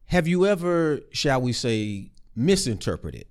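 A man's voice: mid-range voice, big pitch swings, unhurried. A man interviewing a student, asking conversational, sometimes playful questions.

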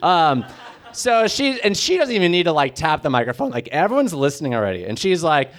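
A man's voice: a little high, very animated, fairly quick, fairly bright tone. A man riffing through an improvised comedy bit with friends, laughing often and rambling with fillers. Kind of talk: improvised comedy podcast banter.

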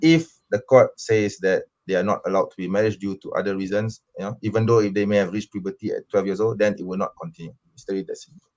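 A man from Malaysia with a very low voice argues his position in an online debate. He talks insistently, full of fillers and restarts. The audio is dull and lo-fi. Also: steady tone, quick pace, a little mellow. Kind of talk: online debate answering questions.

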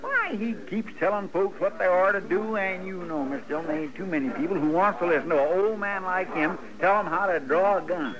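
A man's voice: high voice, lively intonation, fairly quick, hardly any pauses, muffled recording. An actor playing a sheepish, good-hearted frontier townsman with a rural American accent and hesitant, folksy speech in a radio western. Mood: earnest, aggrieved. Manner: hesitant, folksy, rustic grammar. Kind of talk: 1950s radio western acting. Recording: old radio recording, busy background chatter.

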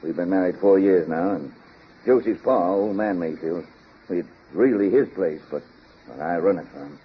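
An actor playing a folksy rural American farm manager with drawling, homespun talk in an old radio drama. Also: male voice, sits low, normal speed, breathy edge. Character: folksy hired farm manager, a plain country man. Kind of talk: 1930s-40s radio drama acting. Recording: dull old radio recording, background noise.

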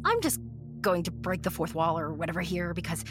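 A woman's voice: on the low side, sing-song, fairly quick. A woman playing a brash, candid young friend who narrates wryly and conversationally in a horror-comedy audio drama. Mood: wry, confiding. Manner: conversational, wry. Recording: prominent music underneath.

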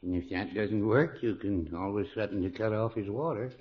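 A man acting a mild, fussy older small-town pharmacist in a 1950s radio comedy, dry, folksy and deadpan. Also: lowish voice, normal speed, over loud music, close to an everyday voice. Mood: deadpan advising. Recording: dull old radio recording.

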